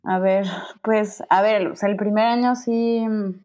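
A woman's voice: medium pitch, fairly even intonation, unhurried, dark tone. A young woman with a Mexican accent, a guest chatting casually, rambling with many fillers and restarts. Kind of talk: casual conversation.

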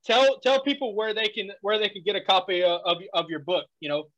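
A man's voice: sits high, expressive, brisk, fairly bright tone, poor audio. A man co-hosting a casual podcast, enthusiastic and stammering through repeated words. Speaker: podcast co-host.

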